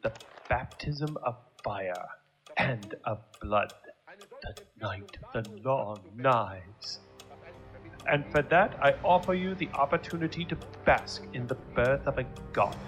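A menacing Nazi commandant in a radio play with a German accent. He speaks slowly and deliberately, with ominous theatrical grandeur, over faint music. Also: medium pitch, lively intonation, a bit bright. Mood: grandiose, ominous. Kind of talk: audio drama acting.

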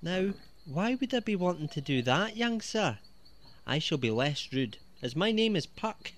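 A mocking highwayman of the foggy moors, speaks in a brogue. His delivery is slow and taunting, swinging widely in pitch with a sharp, bright edge. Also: big pitch swings, heavily acted. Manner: taunting, mock-courteous. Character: sly, mocking male highwayman lurking in moorland fog. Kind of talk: audio drama acting.

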